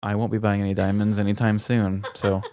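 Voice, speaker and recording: A man's voice: on the low side, level tone, brisk, warm tone. A man with an American accent co-hosting a podcast, telling stories and chatting casually with a wry, joking manner. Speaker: podcast co-host. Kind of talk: casual chat and storytelling.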